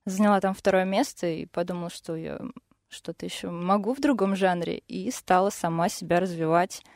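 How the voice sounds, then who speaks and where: A woman's voice: on the low side, brisk, a little mellow, dry recording. A young woman, a singer, talking casually and a bit hesitantly as a studio guest about her musical path. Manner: hesitant, thoughtful, with fillers. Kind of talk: casual interview talk.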